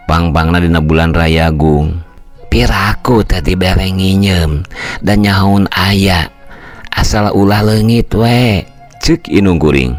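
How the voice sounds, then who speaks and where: An older man tells a folk story in the first person. His delivery is steady and measured, and his voice sits low and deep.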